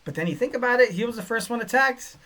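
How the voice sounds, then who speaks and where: Young male podcast host, rambling and excitable, bright forward tone. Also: extremely high pitch, fast. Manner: rambling, many fillers, excitable bursts. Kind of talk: casual solo podcast talk reading listener chat.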